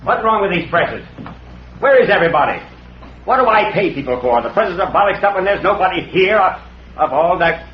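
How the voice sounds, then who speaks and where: A ruthless, hard-bitten newspaper boss, fairly high-voiced for a man and full of bluster. He is brusque and harried in a vintage radio play, on a muffled recording with light noise. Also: animated, brisk. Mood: harried, angry. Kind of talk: 1940s radio drama acting. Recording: noisy, muffled old radio broadcast recording.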